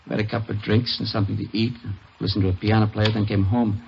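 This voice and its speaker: A man's voice: lowish voice, little pitch movement, fast talker, creaky, slight background noise. A man acting in an old radio crime drama as a shaken husband giving his account to police. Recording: dull old radio recording.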